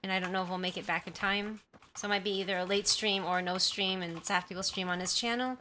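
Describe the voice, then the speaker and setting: A woman's voice: medium pitch, steady tone, quick pace, sharp tone, slight background noise. A woman livestreamer chatting casually with her viewers, rambling and often apologizing, on a sensitive desktop mic in a room at home. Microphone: desktop condenser mic at high sensitivity.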